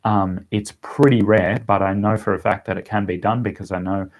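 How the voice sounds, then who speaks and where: A man's voice: on the low side, steady tone, moderate pace. A man with an Australian accent chatting casually as he answers viewers' questions on a livestream. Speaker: language-learning vlogger answering viewer questions.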